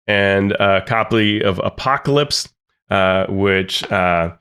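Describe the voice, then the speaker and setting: A man's voice: sits low, slow pace, a bit bright, clean tone. A man co-hosting a podcast in casual, upbeat chat, his voice thick and congested from a cold. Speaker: podcast co-host.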